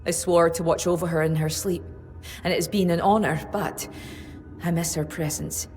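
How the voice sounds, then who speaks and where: Woman acting a solemn, low-voiced tribeswoman in long reverb. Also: steady tone, a little mellow, lightly acted. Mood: wistful. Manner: grave, earnest, measured delivery. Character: solemn, devoted woman of a dreaming tribe, longing and vengeful. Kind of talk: dramatic fantasy video game acting. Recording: long reverb with music behind.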